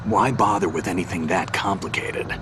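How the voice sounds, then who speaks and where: An actor playing a gruff, terse special-ops soldier with a deep gravelly voice in a game cutscene. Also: male voice, normal speed, background noise, close to an everyday voice. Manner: terse, blunt.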